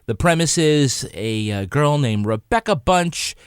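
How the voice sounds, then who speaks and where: A man's voice: on the high side, expressive, a little slow, clean tone, no echo. Man chatting on a dry-sounding podcast. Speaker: podcast panelist. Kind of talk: casual panel chat.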